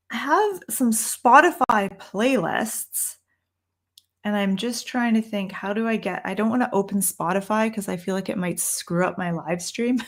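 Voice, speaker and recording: A woman's voice: mid-range voice, a bit bright. A young Canadian woman with a Canadian accent hosting a casual tarot Q&A livestream from a room at home, thinking aloud with frequent ums and restarts. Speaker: tarot reader and livestream host.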